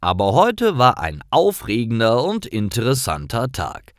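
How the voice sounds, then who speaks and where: A man's voice: mid-range voice, wildly swooping pitch, measured pace, dry recording, clean studio sound. A man narrating simple scripted stories for language learners, carefully, in short sentences.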